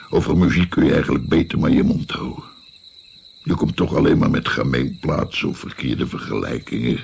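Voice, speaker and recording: An actor playing an older musician in a Dutch radio drama, looking back in a reflective, self-mocking and musing way, with a gravelly voice. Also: male voice, very deep voice, a little hiss or hum, exaggerated voice. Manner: musing, hesitant, expressive.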